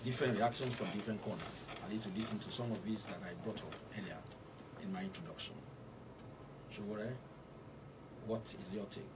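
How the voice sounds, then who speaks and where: A Nigerian man hosting a radio show, delivering formal commentary and introductions with deliberate, emphatic phrasing. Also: on the low side, fairly even intonation. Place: radio studio. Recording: distant mic with faint background noise.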